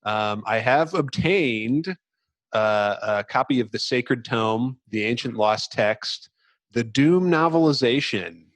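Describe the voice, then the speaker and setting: A man's voice: medium pitch, lively intonation, slow, no room sound. A man hosting a podcast, speaking in a casual but presentational way into a close microphone. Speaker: podcast host. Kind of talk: casual chat with dramatic book reading.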